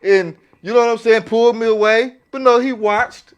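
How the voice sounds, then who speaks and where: A man's voice: very high voice, steady tone, normal speed, clear, resonant tone, dull audio. A man chatting casually as a podcast panelist, telling personal stories in a colloquial way and often checking that listeners follow. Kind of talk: casual chat, personal storytelling.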